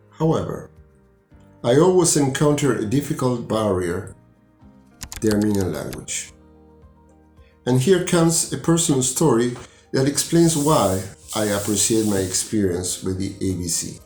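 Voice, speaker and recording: An older man in his sixties with an Argentine Spanish accent reads his personal story at a measured, deliberate pace. His voice carries a roomy echo, and soft music plays faintly underneath. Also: mid-range voice. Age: 60s. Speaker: language student. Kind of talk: read personal testimony.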